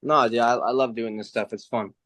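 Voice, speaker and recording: A man's voice: medium pitch, steady tone, brisk, fairly bright tone, dry recording. A man of nineteen talking casually and informally as a racing driver being interviewed, with some slang. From United States.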